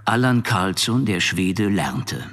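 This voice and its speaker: A man narrating a scripted audio drama, dry and deliberate, with dramatic pauses. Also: deep voice, big pitch swings, a bit bright.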